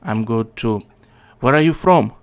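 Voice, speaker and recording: A man hosting his video channel, arguing his points calmly in a casual video chat. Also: medium pitch, measured pace. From Russia. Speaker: channel host.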